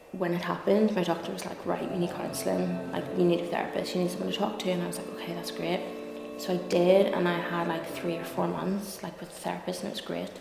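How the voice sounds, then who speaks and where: Belfast woman with a creaky, lowish voice. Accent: Belfast Northern Irish. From Belfast, Northern Ireland. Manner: conversational, frequent "like" and "you know". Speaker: interview guest, lawyer and content creator. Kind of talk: candid personal interview. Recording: echoey reverberant room, clear music behind. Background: music.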